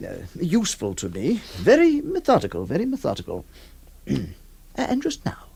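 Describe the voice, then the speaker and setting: A man's voice: sits high, huge pitch swings, normal speed, creaky, mellow, husky, full character voice. An actor playing a flustered, hesitant lawyer who stammers, also narrating his story in a radio drama. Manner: stammering, exasperated, theatrical.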